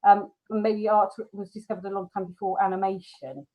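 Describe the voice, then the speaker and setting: A woman's voice: mid-range voice, flat delivery, fairly quick, dark tone. A woman hosting a casual live filmmaker Q&A, talking in a chatty, informal way.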